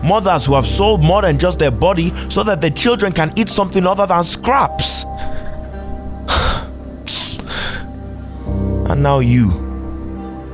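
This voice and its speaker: A man's voice: mid-range voice, lightly acted. A man playing a smug, stern doctor in an audio drama, wry and condescending. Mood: stern scorn. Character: smug, stern futuristic male doctor lecturing his patient. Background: music.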